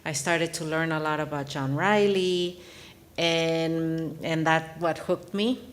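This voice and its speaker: A woman's voice: low-pitched, fairly even intonation, slow pace. A woman from Mexico, a native Spanish speaker, speaking as an author guest at a live panel in an earnest, reflective way with frequent restarts. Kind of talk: panel discussion.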